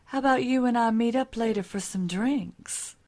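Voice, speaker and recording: A woman's voice: fairly high pitch, normal speed, darkish tone, lightly acted. Woman doing a flirty first lady type, genteel, spoiled, affected manners, comedy radio play. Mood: flirtatious. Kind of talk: comic audio drama acting.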